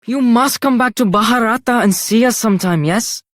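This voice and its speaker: Woman as earnest game lover, young, devoted, formal, effusive, high voice, dry recording. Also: normal speed, no echo, natural voice. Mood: grateful. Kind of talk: theatrical video game voice acting.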